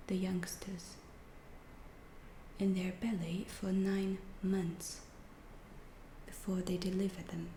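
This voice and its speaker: A woman playing a calm, curious female alien who studies humans, whispering slowly and deliberately in an ASMR roleplay. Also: medium pitch, reverberant, slight background noise, poor audio. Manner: hushed, slow, deliberate, clinical phrasing.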